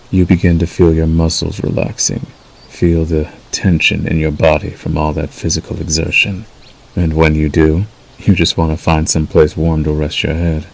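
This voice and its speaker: A man voicing a possessive werewolf lover in intimate ASMR roleplay, menacing yet tender, coaxing slowly and soothingly. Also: a bass voice, vocal fry, full character voice, poor audio. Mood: soothing, sultry. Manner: slow, soothing, coaxing with dark undertone.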